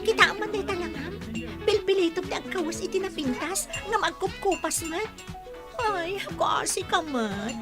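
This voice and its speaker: High-pitched, catty young Ilocano village woman acted. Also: animated, moderate pace, loud music behind the voice. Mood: catty, mocking. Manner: catty, dramatic, sneering. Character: jealous, spiteful young village woman, sharp-tongued and boastful. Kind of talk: Ilocano radio comedy drama acting.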